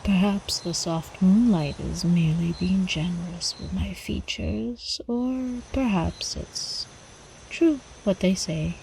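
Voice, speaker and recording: Woman playing a slow, velvety vampire countess. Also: lowish voice, warm tone, slight background noise, low-quality recording. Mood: coy, teasing. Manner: slow, refined, coyly teasing, formal old-fashioned diction. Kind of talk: ASMR roleplay acting.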